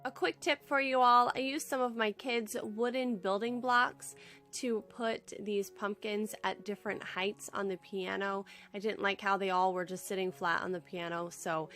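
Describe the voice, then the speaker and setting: A woman's voice: mid-range voice, steady tone, normal speed, fairly bright tone, faint music behind. A young woman with a head cold, chatting in a friendly, upbeat way as she narrates a casual home decor how-to vlog from home.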